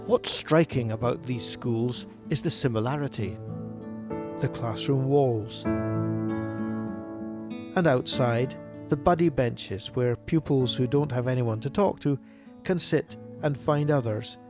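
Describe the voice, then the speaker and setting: A man with a Scottish accent narrating a short documentary in a calm, measured, scripted way. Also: mid-range voice. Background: guitar music.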